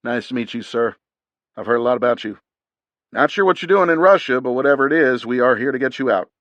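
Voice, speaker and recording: Male narrator doing a raised, fast, clipped navy officer, dry close mic. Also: medium pitch, no echo, highs cut off, voice pushed up, natural voice. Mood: brisk. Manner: loud, brisk and clipped. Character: commanding young male navy commando officer.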